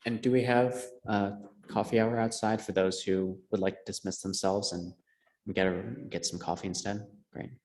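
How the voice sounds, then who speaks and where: Male discussion leader, lowish monotone, informal and halting, roomy sound. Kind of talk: informal, hesitant address to congregation. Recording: echoey room.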